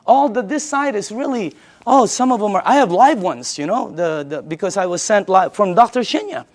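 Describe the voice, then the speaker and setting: A woman's voice: mid-range voice, expressive, normal speed, darkish tone. A woman from the Philippines giving an informal sales presentation, emphatic and repetitive, often putting rhetorical questions to her listeners.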